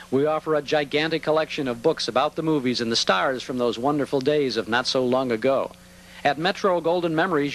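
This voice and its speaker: Higher-pitched male commercial announcer. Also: a bit bright, poor audio. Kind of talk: scripted advertising narration.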